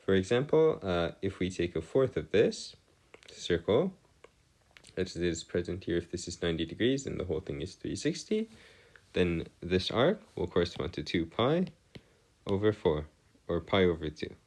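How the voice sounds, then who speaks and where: A man with a deep voice tutors in an explanatory, partly improvised style. His pitch swings widely as he works through each point. He is formal and wordy, often hesitating and rephrasing himself. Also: big pitch swings, moderate pace, darkish tone. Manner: hesitant, self-correcting, formal wordy phrasing. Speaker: math tutor. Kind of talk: semi-improvised explanatory lesson.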